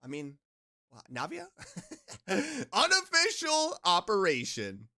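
A reaction streamer gushing over a game trailer with loud exclamations and frequent laughter. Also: mid-range voice, takes it slowly. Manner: excitable, laughs often, exclaims loudly. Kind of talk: casual reaction commentary.

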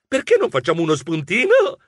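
Man acting timid whiny rodent, storybook, theatrical, bright voice, extremely animated. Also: sits high, fairly quick, dry recording, clean studio sound, pitched above the performer's own voice, full character voice. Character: timid, fussy, food-loving male rodent, easily exasperated. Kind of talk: acted children's audio story.

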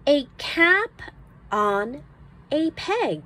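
A woman reading an early phonics book aloud for children as a teacher, enunciating each word deliberately and carefully. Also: high voice, sing-song, sharp tone. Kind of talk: slow read-aloud of an early reader for children.

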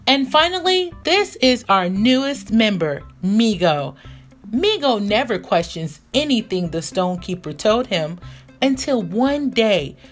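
A woman reading a picture book aloud for young children, expressive and deliberate in her storytelling. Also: a little high. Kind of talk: animated read-aloud narration for young children. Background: music.

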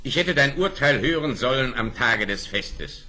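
A man's voice: medium pitch, animated, pushed and bright. An actor playing a remorseful older father, a grave ruler in a fairy-tale radio play. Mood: solemn, remorseful. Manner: grave, measured.

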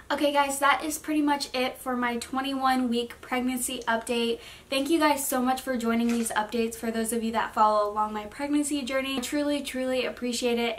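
A woman's voice: a little high, a bit bright, a little hiss or hum. A young woman in her twenties chatting casually in a vlog from her bedroom, rambling with many fillers. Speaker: vlogger. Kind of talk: casual pregnancy update vlog. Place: bedroom, seated on bed.

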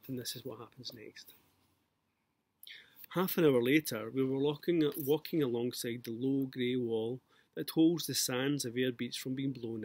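Scottish male author, middle-aged, husky, mid-pitched, presenting his own book. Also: dry recording. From Scotland. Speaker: author introducing and reading own novel. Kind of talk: informal introduction and narrative reading.

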